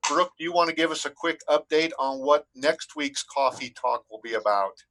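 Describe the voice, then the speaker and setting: A man's voice: on the high side, normal speed, fairly bright tone, rough recording. A man hosting an online coffee meetup over video call, thanking the guest and closing politely.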